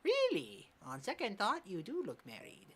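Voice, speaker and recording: A performer voicing a blunt, teasing old male gym coach in a high, bouncy put-on voice in a fan dub. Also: fast, fairly bright tone, natural voice. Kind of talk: amateur fan dub of a medical drama.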